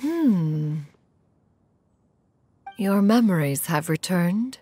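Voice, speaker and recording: A woman's voice: fairly low pitch, lively intonation, extremely slow, husky, exaggerated voice. A woman voicing a dignified, aloof immortal in a video game, speaking in a formal, archaic, stately way. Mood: solemn, sympathetic. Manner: stately, archaic formal speech referring to self as one. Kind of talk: video game story voice acting. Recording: soft music behind the voice.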